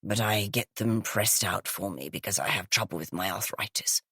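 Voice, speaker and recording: A woman voicing a groggy older woman with a croaky, gravelly, smoky voice. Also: a bass voice, normal speed, pushed and bright, dry recording, studio quality, pitched below the performer's own voice, exaggerated voice.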